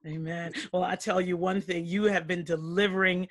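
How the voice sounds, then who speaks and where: A woman's voice: on the low side, fairly even intonation, normal speed, dry recording, clean studio sound. A middle-aged woman interviewing her pastor brother over a video call, with many affirming interjections. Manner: warm, enthusiastic, frequent affirmations. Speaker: interviewer, church member. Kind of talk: casual church interview. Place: home room with teal wall.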